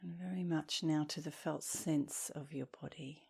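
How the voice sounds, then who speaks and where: A woman leading a guided meditation as an instructor, speaking softly and soothingly, with gentle, breathy phrase endings. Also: deep voice, steady tone. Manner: soothing, gentle, unhurried with long pauses.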